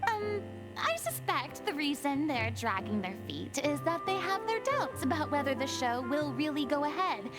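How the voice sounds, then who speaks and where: Game role: young theatrical diva, high and very lively, formal phrasing, loud music underneath. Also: female voice, moderate pace. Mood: determined. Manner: dramatic, formal, flowery phrasing. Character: flustered, theatrical young woman, former idol turned stage performer. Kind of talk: video game voice acting.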